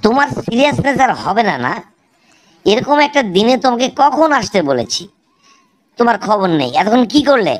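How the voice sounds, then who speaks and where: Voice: medium pitch, big pitch swings, moderate pace, natural voice. A voice actor playing a nagging, sarcastic wife in a comedy cartoon. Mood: scolding. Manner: scolding, sarcastic.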